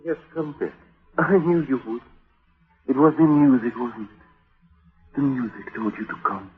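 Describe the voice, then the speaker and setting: An actor playing a sinister, bitter musician, insinuating and intense in 1940s radio drama style. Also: male voice, mid-range voice, animated, unhurried, natural voice. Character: sinister, bitter cafe musician, coaxing and hypnotic. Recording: tinny band-limited old radio recording.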